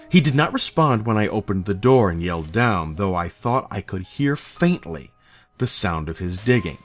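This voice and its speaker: Low-voiced man narrating horror. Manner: measured, literary delivery. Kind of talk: scripted horror story narration. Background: faint eerie music.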